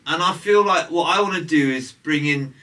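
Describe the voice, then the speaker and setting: A man's voice: a little high, a little fast, pushed and bright, recorded from a distance. A man, a guitarist in casual chat, thoughtful with hesitant restarts. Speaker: guitarist guest.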